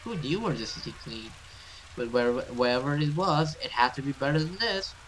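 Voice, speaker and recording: A man's voice: mid-range voice, expressive, moderate pace, fairly bright tone, a little hiss or hum, poor audio. A man giving casual let's play commentary and reading game text aloud. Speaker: gaming video host.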